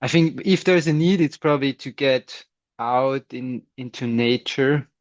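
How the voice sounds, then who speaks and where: A man with a Swiss German accent, a non-native English speaker, chatting as an interview guest, thoughtful and quick to laugh. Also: mid-range voice, fairly even intonation, no echo, lo-fi sound. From Switzerland. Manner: thoughtful, laughs often, many restarts. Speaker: guest, scientist and runner. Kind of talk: casual interview chat.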